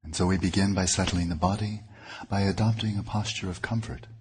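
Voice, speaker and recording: A man leading a guided meditation as a teacher, speaking in deliberate, measured phrases with pauses. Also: low-pitched, fairly even intonation, slight background noise.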